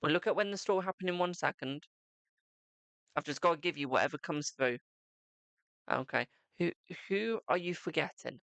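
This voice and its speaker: A man's voice: a little high, moderate pace, creaky, long pauses, fairly bright tone, dry recording. A man giving a live-stream psychic tarot reading, chatting to viewers and calling them "my darling".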